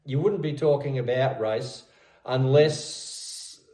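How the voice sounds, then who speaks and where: A man's voice: medium pitch, slow pace, warm tone. Male tutor, patient and repetitive, recorded in a roomy space at a distance. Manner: patient, repeats words, stammering restarts. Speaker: English tutor. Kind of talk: casual one-on-one language lesson.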